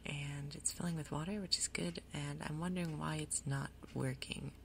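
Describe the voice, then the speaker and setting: A woman giving soft-spoken ASMR game commentary in a breathy, gentle, unhurried way. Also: deep voice, steady tone, a little hiss or hum. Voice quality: breathy, whispery. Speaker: gaming video host.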